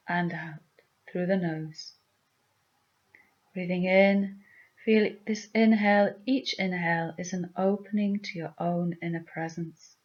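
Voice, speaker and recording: A woman leading a guided meditation with gentle, soothing, deliberate instructions. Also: lowish voice, muffled recording.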